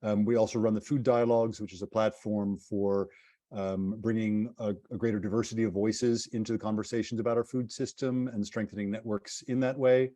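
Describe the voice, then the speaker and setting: A middle-aged man with an American accent, speaking as a guest on a webinar panel over a video call, talkative and relaxed with a self-deprecating touch. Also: fairly low pitch, fairly quick, no room sound. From United States. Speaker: nonprofit CEO, panel guest. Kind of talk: casual webinar talk.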